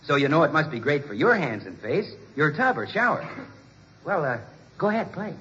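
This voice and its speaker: An eager, fawning American radio announcer, rather high and very lively in pitch. He has a gee-whiz salesman's manner from 1940s comedy, on a muffled, noisy old recording. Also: normal speed. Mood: salesmanlike.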